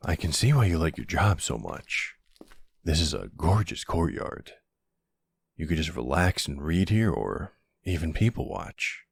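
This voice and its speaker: Man playing a deep, gravelly flirty mafia boss. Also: sing-song, measured pace, no echo, clean studio sound, heavily acted. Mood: flirty, affectionate.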